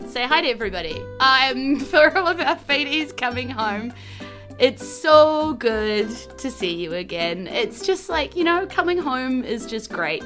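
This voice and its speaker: A woman with a New Zealand accent hosting a podcast as dungeon master in a lively, casual style. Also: high voice, measured pace, faint music behind. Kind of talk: lively casual podcast intro and game recap.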